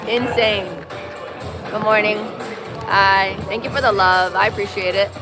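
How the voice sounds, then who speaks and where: A young American woman with a light Puerto Rican accent, chatting casually on a livestream in an expressive, slangy, opinionated way. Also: medium pitch, a little slow, crowd noise. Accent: Puerto Rican-tinged American. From Massachusetts, USA. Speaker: live streamer. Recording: music playing behind.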